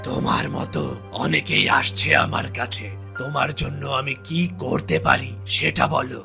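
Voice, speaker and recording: Guy doing a gravelly stingy landlord in a cartoon, cold and condescending, music behind him. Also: medium pitch, fairly even intonation, normal speed. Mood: dismissive. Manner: dismissive, condescending. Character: stingy village landlord, coldly refusing help. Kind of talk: Bengali cartoon story voice acting.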